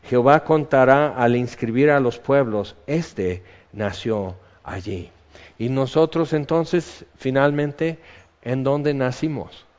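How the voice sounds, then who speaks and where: A man's voice: medium pitch, animated, takes it slowly, darkish tone. A man preaching a sermon in a conversational way, reading psalm verses aloud. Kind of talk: sermon, Bible teaching. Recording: dull reverberant hall recording.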